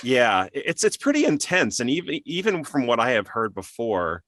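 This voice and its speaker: A man's voice: mid-range voice, huge pitch swings, moderate pace, a bit bright, no echo. A man hosting an interview in a casual, conversational style. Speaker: interview host.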